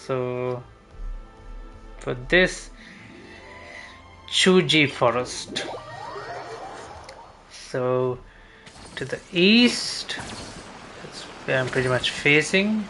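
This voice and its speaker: A man giving casual, unhurried gameplay commentary, thinking aloud as he goes. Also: medium pitch, animated, sharp tone. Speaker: game streamer. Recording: game music behind voice. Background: video game music.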